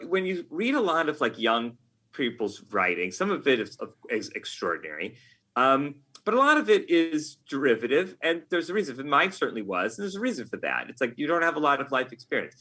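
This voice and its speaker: A middle-aged man talking casually as an interview guest, often halting and rephrasing himself as he goes. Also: mid-range voice, bright, forceful tone. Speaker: podcast guest, game writer. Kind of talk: casual interview talk, reflective anecdotes.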